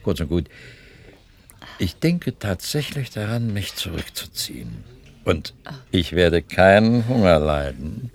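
Sixtyish gentleman tycoon for radio play, gallant and formal, very low register, lively intonation. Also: slow, creaky, heavily acted. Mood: earnest wooing. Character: courtly, self-assured financier of sixty-two, wooing a younger woman. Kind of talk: German radio play acting.

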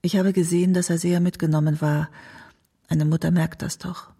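A woman's voice: fairly low pitch, flat delivery, moderate pace, warm tone, slightly rough. An actress playing an elderly mother who recalls her worries about her son in a radio play. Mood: subdued, worried. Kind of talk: radio play documentary monologue.

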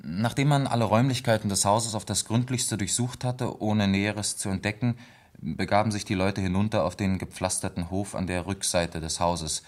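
An actor playing a police lieutenant who reads a grim report in a formal, matter-of-fact way in a German radio play. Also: male voice, lowish voice, normal speed, natural voice.

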